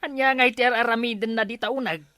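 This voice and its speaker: A woman's voice: fairly high pitch, steady tone, quick pace, bright, forceful tone, smooth, no room sound, studio quality. A woman playing a grumbling, disapproving parent-in-law from a farming household in an Ilocano comedy drama, chiding as they talk.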